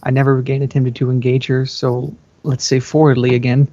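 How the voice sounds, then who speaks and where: A man's voice: mid-range voice, level tone, a little fast, dark tone. A young man giving a playful, theatrical amateur reading of a story. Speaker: amateur fan fiction reader. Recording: muffled, echoey home recording.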